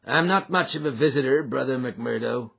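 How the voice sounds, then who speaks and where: A man's voice: mid-range voice, normal speed, creaky, slightly rough, highs cut off, voice pushed up. A man playing a huge, menacing, blunt American lodge boss who talks in folksy threats in an audiobook. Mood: gruff affable. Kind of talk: audiobook character acting.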